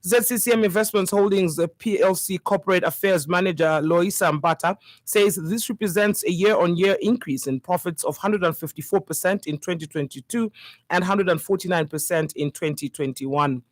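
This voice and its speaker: A woman's voice: fairly low pitch, moderate pace, dry recording. Female newsreader, Zambian accent, formal bulletin read, dry studio-style sound. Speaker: radio news reader. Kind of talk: scripted news bulletin reading.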